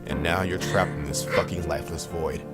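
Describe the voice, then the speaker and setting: A man's voice: sits low, normal speed, over loud music, lightly acted. A man playing a grieving woman's mind as a blunt, exasperated, sweary inner voice in an audio drama, confronting her with pointed questions. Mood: subdued, reasoning. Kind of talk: amateur audio drama acting.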